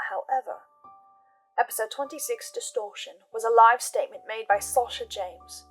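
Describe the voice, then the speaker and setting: A woman hosting a recap show, laying out her notes and theories in a deliberate, measured way with dramatic pauses. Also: fairly high pitch, slightly rough. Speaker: host of a podcast listener's reaction and theory show.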